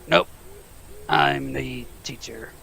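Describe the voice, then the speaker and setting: A man playing a big, kindly, nervous half-giant teacher with a gravelly, raspy voice and flustered, halting delivery. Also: mid-range voice, steady tone, pushed and bright, full character voice. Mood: shaken.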